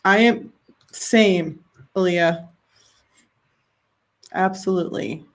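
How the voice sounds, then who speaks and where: A woman's voice: fairly low pitch, slow pace. A woman hosting a live writing sprint, chatting casually and reading viewer comments aloud with easy laughs. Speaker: livestream host of writing sprints.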